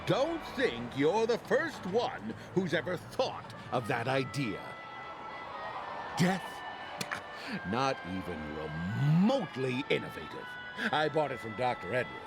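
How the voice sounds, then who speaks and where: A man's voice: medium pitch, sing-song, slow, gravelly, heavily acted. An actor playing a jaded, grumbling older man in a video game, with a cynical, dismissive drawl. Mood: jaded, scornful. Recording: music under the voice.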